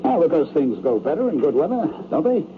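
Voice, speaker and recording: An actor playing a blunt, uneasy rich man in an old-time radio drama, with halting, defensive, streetwise speech. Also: a little high, sing-song. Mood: awkward, probing. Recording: tinny, noisy old radio broadcast.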